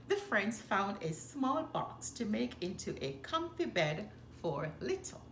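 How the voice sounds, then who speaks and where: A woman reading a children's story aloud with expressive, animated, deliberate phrasing. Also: mid-range voice. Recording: roomy echo, faint background noise.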